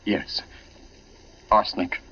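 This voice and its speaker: An actor playing an anguished, urgent man who pleads haltingly, in the style of a 1940s radio drama. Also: loud music behind the voice, close to an everyday voice. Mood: grimly determined. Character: anguished man secretly suspecting his wife of murder. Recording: dull vintage 1940s radio recording.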